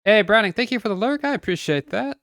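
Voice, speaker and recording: A man's voice: high-pitched, expressive, fast talker, no room sound. Casual male streamer, close dry mic, chatty and upbeat. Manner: chatty, laughs often, greets viewers brightly. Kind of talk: casual livestream chat.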